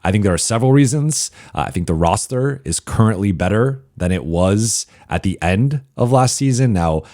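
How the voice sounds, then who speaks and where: Hoarse man, very low pitch, dry close sound; sports reporter working through a stats-heavy mailbag. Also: animated, dark tone, no room sound. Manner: analytical, reels off statistics with frequent "uh" pauses. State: hoarse, partly lost voice. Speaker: basketball reporter and show host. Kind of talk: solo mailbag podcast commentary.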